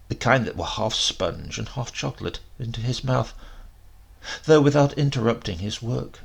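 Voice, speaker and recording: A man narrating a short story as a dramatic audiobook reading, with deliberate phrasing and emphasis. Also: mid-range voice, vocal fry, fairly bright tone, breathy edge.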